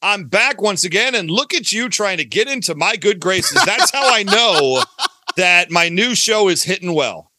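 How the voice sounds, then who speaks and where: Bright, high-voiced playful male podcaster. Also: animated, measured pace, dry recording, clean studio sound. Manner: playful, jokey showman banter. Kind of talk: casual interview chat.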